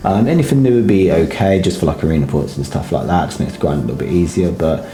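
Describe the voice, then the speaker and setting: A man's voice: fast talker, warm tone. A man with a sore throat giving casual, hesitant game commentary full of ums. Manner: hesitant, filler-heavy, low-key. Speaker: gaming guide creator. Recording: roomy echo.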